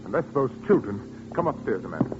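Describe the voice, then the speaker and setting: A man's voice: medium pitch, sing-song, fairly quick, music in the background, natural voice. An actor playing a stern, grieving widower and father in an old-time radio drama. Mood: alarmed. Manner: stern, then brooding and haunted. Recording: dull noisy radio recording.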